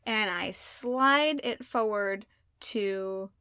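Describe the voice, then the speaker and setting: Bright, impulsive woman player. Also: medium pitch, very slow, clear, resonant tone, no room sound, clean studio sound. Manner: impulsive, joking, blunt. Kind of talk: playing a tabletop game character.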